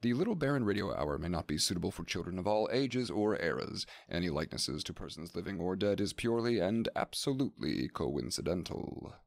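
A young man giving a formal, measured old-time radio closing announcement into a vintage stand microphone. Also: low-pitched, big pitch swings, vocal fry, no room sound. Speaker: producer and announcer. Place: small room with typewriters on shelves. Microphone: vintage-style stand microphone.

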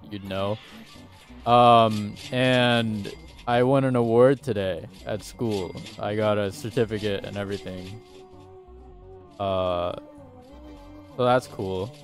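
A man in his twenties chatting casually on a game livestream through a headset mic, rambling and laughing at himself. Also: fairly low pitch. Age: 20s. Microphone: headset mic at desk.

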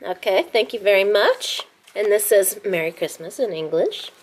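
A woman's voice: medium pitch, animated, moderate pace, poor audio. A woman vlogging at home, chatting casually while she holds up greeting cards and reads them aloud into a handheld camera. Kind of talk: casual vlog narration.

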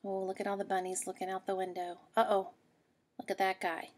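A woman's voice: mid-range voice, monotone, fairly quick. A woman teacher reading a picture book aloud to young children, explaining patiently and checking in with questions.

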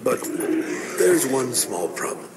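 An actor playing a middle-aged frontier priest in a game cutscene, fatherly and wry, with measured folksy speech. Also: mid-range voice, sing-song, background noise. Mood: storytelling. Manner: measured, folksy, gently preachy. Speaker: reverend. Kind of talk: video game cutscene acting.

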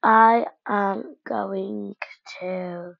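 A woman's voice: mid-range voice, fairly even intonation, very slow, gravelly, dry recording. A woman casually narrating while she decorates a game house, thinking aloud with many fillers and hesitations. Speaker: gaming vlogger. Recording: dull lo-fi home mic.

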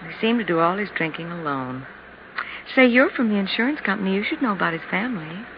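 An actress playing a gossipy, soft-hearted apartment landlady in a 1950s radio drama. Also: mid-range voice, expressive, quick pace, close to an everyday voice. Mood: gossipy, frank. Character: sad, gossipy woman running an apartment house. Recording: noisy, muffled 1950s radio recording.

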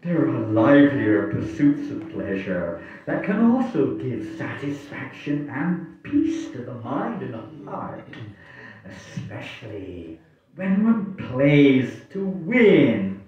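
A man's voice: mid-range voice, very animated, slow, faint music behind. Man playing a smug royal schemer, wildly swooping voice, echoey. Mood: smug eagerness. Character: smug, falsely genial scheming royal brother and gambler. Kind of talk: amateur staged dramatic reading. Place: wood-panelled hall, indoors. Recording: distant echoey hall recording, muffled.

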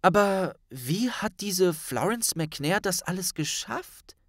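A male narrator puts on an earnest teenage witch girl for a fantasy audiobook. The voice is raised and lively, full of careful, anxious questioning. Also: sits high, slow pace, no echo, clean studio sound, pitched above the performer's own voice, exaggerated voice.

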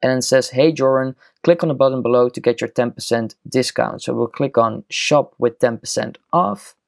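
A man with a gravelly, fry-tinged voice narrates a screen-recorded software tutorial. He explains each click in a steady, step-by-step way, at an ordinary middle pitch. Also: moderate pace.

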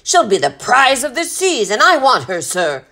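A woman voicing a gruff, blustering old male sea captain in a storybook, bellowing in salty sailor talk. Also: on the high side, sing-song, moderate pace, bright, forceful tone, voice pushed up, exaggerated voice. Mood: roaring, impatient.

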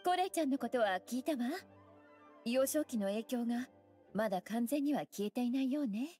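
A woman voicing a graceful, teasing young scholar mage in an anime game, with elegant, playful, unhurried phrasing. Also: on the high side, expressive, dark tone. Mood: gentle, sincere. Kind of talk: Japanese anime game voice acting. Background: music.

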